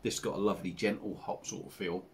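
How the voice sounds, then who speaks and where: A man in his forties with an English accent, chatting casually to camera as he reviews a beer at his home bar, rambling and relaxed with lots of fillers. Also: deep voice, quick pace, slight background noise. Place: home bar room with dartboard and signs.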